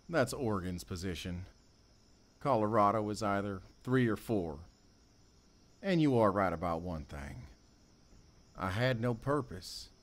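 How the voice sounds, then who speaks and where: A man playing a sardonic, wounded ex-convict with a soft heart in an emotional audio drama. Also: on the low side.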